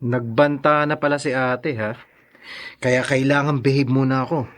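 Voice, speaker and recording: An actor playing a sly, teasing younger brother in a radio drama, with a wheedling manner. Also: male voice, mid-range voice, level tone, normal speed, a bit bright, natural voice. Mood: needling.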